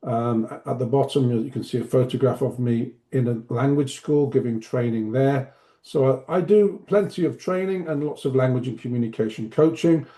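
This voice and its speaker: A man, presenting an online teacher-training webinar in a relaxed, chatty way with a slight cough. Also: medium pitch, normal speed. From Manchester, north of England. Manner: relaxed, chatty, self-deprecating asides. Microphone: video call.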